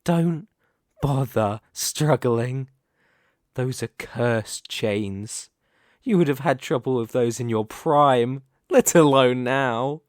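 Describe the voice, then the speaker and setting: A man's voice: mid-range voice, no echo, clean studio sound, natural voice. A man playing a reborn demon king in a scripted ASMR roleplay, smugly menacing, possessive and seductive, with a theatrical, condescending delivery. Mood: menacing, triumphant. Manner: theatrical, deliberate, condescending, grandiose.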